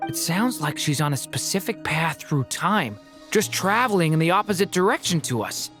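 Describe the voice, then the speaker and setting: Lowish, lively, inquisitive young woman. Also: normal speed, faint music behind. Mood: curious, matter-of-fact. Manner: conversational, explanatory. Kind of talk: anime game voice acting.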